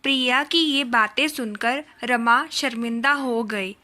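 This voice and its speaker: Slow, bright, high-pitched woman narrator. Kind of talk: scripted children's story narration.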